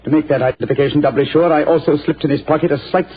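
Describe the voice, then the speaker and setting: An actor playing a cool, incisive middle-aged detective in a 1940s radio drama, assured and faintly condescending. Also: male voice, on the high side, steady tone, very fast, slightly rough. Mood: explaining. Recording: lo-fi old radio broadcast.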